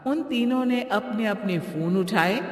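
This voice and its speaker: A woman's voice: mid-range voice, measured pace. A woman narrating a scripted moral story. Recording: echoey with long reverb.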